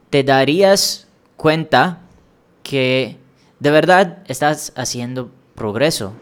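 Guy from india doing a solo learning podcast, talks real slow, smooth clear voice, encouraging. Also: mid-range voice. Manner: slow, measured, encouraging explanations with small restarts. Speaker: language-learning podcast host. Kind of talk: solo podcast monologue giving advice.

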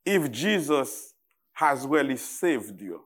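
A man from Haiti with a Haitian accent delivers a sermon to a congregation as a guest preacher. His voice sits at a middle pitch, and he takes his time, setting out short phrases deliberately with halting pauses. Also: big pitch swings.

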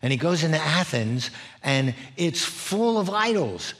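A man's voice: a little high, sing-song, measured pace, a bit bright. A middle-aged man preaching in an explanatory way, laying out points and often checking in with listeners. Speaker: preacher, former missionary. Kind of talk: expository sermon, teaching in numbered points.

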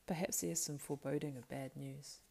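Woman acting a plaintive, excitable young male hobbit. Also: low voice, brisk, vocal fry, husky, heavily acted. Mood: worried.

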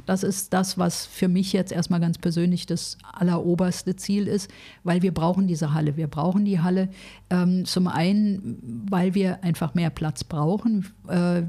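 Frank, mellow-voiced woman in her sixties. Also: fairly low pitch. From Berlin, grew up in West Berlin. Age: 60s. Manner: chatty, frank, many fillers and restarts. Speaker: food bank manager, guest. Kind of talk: casual interview conversation.

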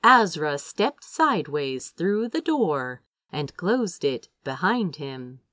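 A woman reading a story aloud as expressive scripted narration. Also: low voice, takes it slowly, dry recording, clean studio sound. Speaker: audiobook-style reader of fan fiction.

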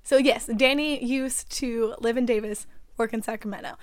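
A young woman with a raspy voice, chatting as a podcast co-host, rambling and giggling with lots of fillers. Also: fairly high pitch, steady tone, moderate pace, dry recording, clean studio sound. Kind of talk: casual chat.

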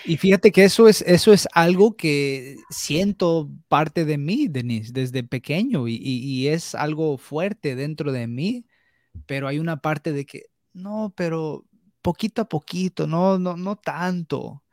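A man's voice: a little high, expressive, a little slow, a little mellow, dry recording, studio quality. A young man, talking candidly on a podcast, hesitant, with restarts and slips into English words. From United States. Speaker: podcast co-host. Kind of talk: casual podcast conversation.

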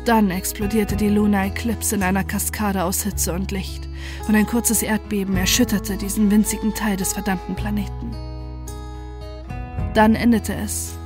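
A woman's voice: medium pitch, flat delivery, moderate pace, music in the background, close to an everyday voice. A woman playing a desperate narrator in a first-person horror audio drama, telling it with dramatic intensity and dread. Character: desperate, horrified narrator confronting a mad former friend.

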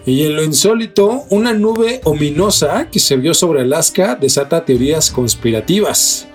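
A man's voice: on the high side, animated, moderate pace, soft background music. A man with a Mexican accent co-hosting a mystery newscast, reading headlines and chatting in an informal, slangy way. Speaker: news co-host. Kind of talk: scripted mystery news narration with casual banter.

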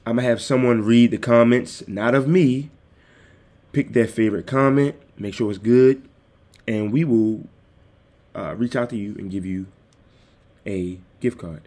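A man hosting his own podcast, talking casually and directly to his listeners in a calm, soothing voice into a desktop microphone. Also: mid-range voice, unhurried, long pauses. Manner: easygoing, addresses listeners directly. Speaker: podcast host. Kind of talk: casual solo podcast talk. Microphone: desktop USB microphone.

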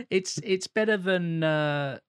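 Halting, hesitant man in a dry room. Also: high-pitched, big pitch swings, smooth, no room sound. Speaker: podcast co-host. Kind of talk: casual podcast chat.

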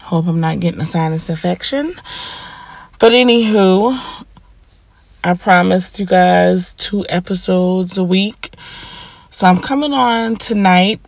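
A young woman chats through her solo podcast at an unhurried, drawn-out pace, her voice sitting a bit low and sounding congested and stuffy. She speaks frankly, with plenty of ums and pauses, into a close, dry mic. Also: vocal fry, dry recording. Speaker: podcast host. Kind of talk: casual solo podcast monologue.

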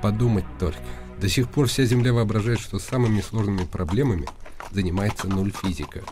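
A man plays a young nobleman in a radio play. His low voice is bitter and ironic, brooding over his words, with clear music behind. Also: brisk, lightly acted. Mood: scornful, brooding. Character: bitter, sardonic young nobleman, secretly an Earthman. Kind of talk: radio drama acting.

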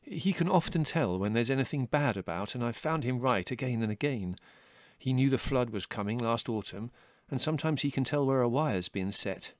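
A man's voice: medium pitch, animated, moderate pace, no room sound, close to an everyday voice. Guy doing a young storybook rabbit, polite n earnest, bit hesitant, dry sound. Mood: hesitant, respectful. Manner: hesitant, deferential. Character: earnest, respectful young male rabbit, protective brother.

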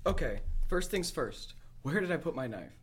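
A man's voice: fairly high pitch, big pitch swings, moderate pace, slight background noise. A man playing a plucky adventurer who mutters to himself in a radio drama. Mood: focused, self-talking.

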